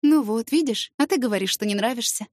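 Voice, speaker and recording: A woman voicing a feisty but tender mother in an audiobook, expressive and easily flustered. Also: on the high side, brisk, no room sound. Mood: tender, reassuring. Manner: expressive, exclamatory, stammering when flustered.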